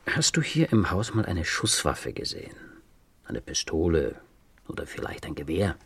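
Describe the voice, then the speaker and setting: Deep, husky patient police detective. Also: male voice, very animated, full character voice. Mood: probing, calm. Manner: measured, polite questioning. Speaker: actor in a crime radio play. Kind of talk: German crime radio play acting.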